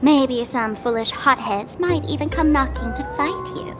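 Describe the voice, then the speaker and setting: A woman's voice: sits high, expressive, unhurried, over music. A woman playing a mischievous, smug young female trickster in a video game, with a teasing, theatrical manner. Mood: playful, smug. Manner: teasing, theatrical, speaks of herself in third person. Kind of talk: video game character acting.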